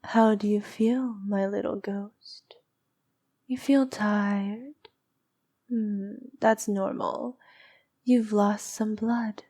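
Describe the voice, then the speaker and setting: A woman playing a noble but needy vampire princess in an intimate ASMR roleplay, speaking softly and often whispering, cooing and possessive. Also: mid-range voice, steady tone. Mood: sated, soothing. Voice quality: soft, breathy, often dropping to a whisper. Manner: slow, cooing, possessive, coaxing.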